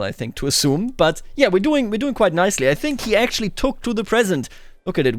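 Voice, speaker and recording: A man gives casual roleplay gameplay commentary, musing aloud and slipping into in-character boasting. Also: fairly high pitch. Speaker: video game let's player. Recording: background music under the voice. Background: music.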